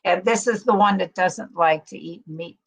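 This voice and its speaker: A woman's voice: lowish voice, moderate pace, breathy edge. A woman hosting a live stream, chatting enthusiastically and reading out viewer comments.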